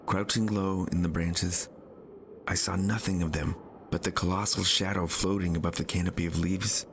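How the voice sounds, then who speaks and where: A man's voice: low voice, fairly even intonation, moderate pace, a bit bright, slight background noise. A man narrating a historical novel in a scripted, literary style, as an author reading his own audiobook.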